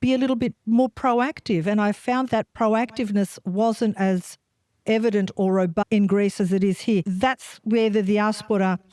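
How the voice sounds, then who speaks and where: An older woman hosts in a mid-pitched voice. She asks earnest, personal questions and slows down on the words she wants to stress. The recording is dry and close. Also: steady tone, a little mellow, dry recording. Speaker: host. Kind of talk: interview questions.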